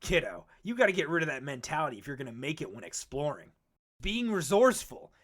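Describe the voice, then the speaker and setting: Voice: mid-range voice, very animated, fast talker, fairly bright tone. A voice actor playing a brash, cynical veteran Pokemon explorer in a comic dub, talking in a cocky, slangy, needling way. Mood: cocky, teasing. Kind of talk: animated comic dub acting.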